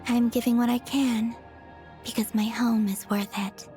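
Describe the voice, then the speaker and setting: A woman performs a wistful moon goddess for a game cutscene. Her voice sits fairly high, soft and breathy, with measured, sincere phrasing. She sounds grateful and devoted, with music playing softly behind her. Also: little pitch movement, natural voice. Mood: serene, resolute.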